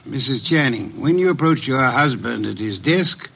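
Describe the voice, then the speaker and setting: An actor playing an imperious, pedantic middle-aged detective in a classic radio mystery, speaking formally and precisely with a heavy, breathy delivery. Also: male voice, mid-range voice, lively intonation, normal speed, a bit bright, full character voice. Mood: deliberate, probing. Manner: formal, pompous, precise diction. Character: imperious, pedantic male detective, eloquent and exacting.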